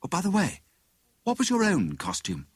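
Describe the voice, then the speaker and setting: A man voicing a clever, excitable married woman who plays amateur detective, with a brisk, knowing manner. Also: high voice, husky, no echo, voice pushed up, heavily acted.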